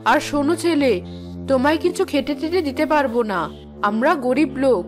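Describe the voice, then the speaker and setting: A woman's voice: high voice, a little fast, over music, lightly acted. A woman voicing a bad-tempered, stingy middle-aged village aunt in a cartoon, scolding and sarcastic. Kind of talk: children's cartoon voice acting.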